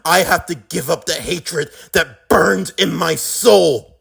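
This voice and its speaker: A man's voice: a little high, takes it slowly, gravelly, a bit bright, breathy edge, heavily acted. A man playing a defiant, bitterly sarcastic young man in an intense anime-style drama, with a profane, taunting, emphatic delivery. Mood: tormented.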